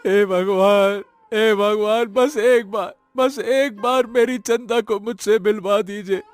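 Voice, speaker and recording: A man's voice: sits high, fairly even intonation, slow pace, no room sound. A man playing a poor, remorseful woodcutter husband in a cartoon story, with a wailing, melodramatic manner. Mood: grieving, remorseful.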